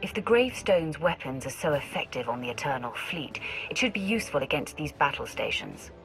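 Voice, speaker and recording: Sith warrior commander for a game: forceful, sharp, imperious, faint music beneath. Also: female voice, deep voice, very animated, a little fast, gravelly, heavily acted, rough recording. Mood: businesslike. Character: stern, commanding Sith warrior leading an alliance. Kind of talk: dramatic video game acting.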